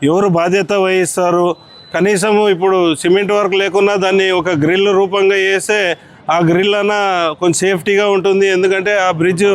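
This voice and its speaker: Man, Rayalaseema Telugu accent, high even pitch, political press statement, faint ambient noise. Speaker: political party leader.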